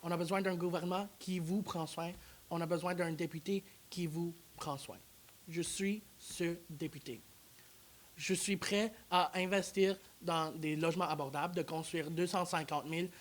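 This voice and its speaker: A man's voice: on the high side, fairly even intonation, normal speed. Man, election candidate in a studio debate, earnest and insistent. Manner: earnest, repetitive rallying lines. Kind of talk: campaign pitch in a debate.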